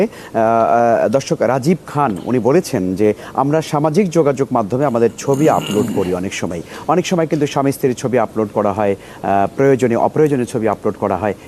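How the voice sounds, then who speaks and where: A male host with a Bangladeshi accent poses questions in an interview style on a religious programme. His voice sits at a medium pitch for a man. A light rumble runs underneath. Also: warm tone. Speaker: host putting questions to a scholar.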